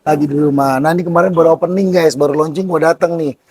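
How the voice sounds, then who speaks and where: A hoarse man, excitedly vlogging about street food outdoors at a stall and calling his viewers "guys". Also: on the high side, fast talker, no room sound. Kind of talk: casual street-food vlog.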